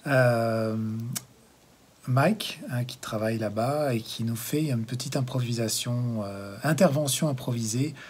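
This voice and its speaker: A man's voice: medium pitch, a little slow, husky. A man from Haute-Savoie in France hosting a community TV show, introducing a segment with many fillers and restarts. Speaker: host.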